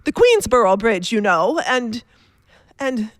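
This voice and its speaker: An actress playing a nervous, complaining invalid wife in a radio play, haughty, fretful and insistent. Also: female voice, on the high side, very animated, takes it slowly, dry recording, clean studio sound. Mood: urgent, insistent.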